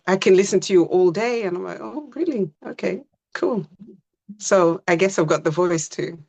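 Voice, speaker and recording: A woman from Britain, a stage actor, chatting as a guest in a relaxed, breezy way. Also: lowish voice, moderate pace, creaky. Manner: relaxed, breezy, short plain answers. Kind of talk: casual interview chat.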